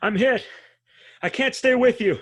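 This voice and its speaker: A man playing an excitable, worried rebel fighter pilot in a dramatic script read. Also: very high-pitched, a little slow, slightly rough, heavily acted. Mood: alarmed.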